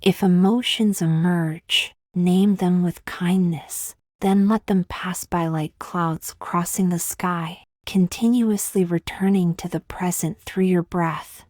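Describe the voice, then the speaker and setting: A woman with a slightly low voice leads a guided meditation. Her phrasing is gentle, slow and measured, with long pauses between instructions. The sound is dry and close to the mic. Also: fairly even intonation, dry recording.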